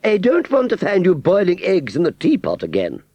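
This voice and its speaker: A snooty, shrieking doll lady, as a male storyteller puts her on, all lofty affected airs, swooping high and wide in pitch. Loud and sharp, with dull lo-fi sound. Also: wildly swooping pitch, moderate pace, dry recording, full character voice. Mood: bossy. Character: haughty shrill female fairground doll, snobbish and bossy.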